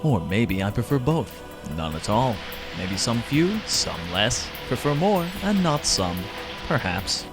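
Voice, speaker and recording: A man's voice: medium pitch, big pitch swings, a little slow, music in the background. A man voicing a smug, teasing young prince in a comedic fan dub, talking in a dry, mock-grand way.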